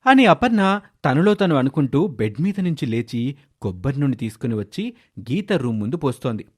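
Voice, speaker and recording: Mid-pitched male story narrator. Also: big pitch swings, moderate pace, a little mellow, breathy edge.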